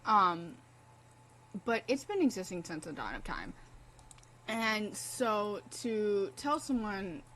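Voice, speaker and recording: A woman's voice: fairly low pitch, fairly bright tone, slight background noise, rough recording. A young woman streaming a game in casual commentary, blunt, profane and impassioned as she talks.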